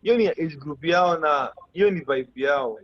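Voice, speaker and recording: A husky-voiced Kenyan man in his thirties answers questions over a video call and explains his thoughts in a reflective, measured way. The audio is dull and lo-fi. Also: fairly high pitch. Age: 30s. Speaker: musician guest.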